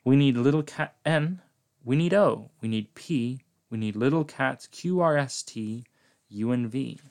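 A man reading aloud as an eager little cat helper, quickly listing off the other cats. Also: medium pitch, creaky, darkish tone, pitched above the performer's own voice.

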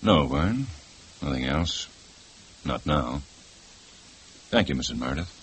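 A calm, firm police detective, with a very deep voice and measured questions. A faint hiss runs under the old radio play. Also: male voice, exaggerated voice, rough recording. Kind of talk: 1950s radio drama acting.

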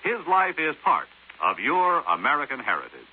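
A man's voice: medium pitch, huge pitch swings, normal speed, soft background music. A man reading a scripted educational announcement as a 1950s radio announcer, formal and declamatory. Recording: tinny 1950s radio, faint noise.

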